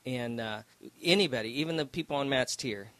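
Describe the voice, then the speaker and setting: A man's voice: mid-range voice, a little fast, a bit bright, dry recording. Earnest preacherly male co-host. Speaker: radio co-host.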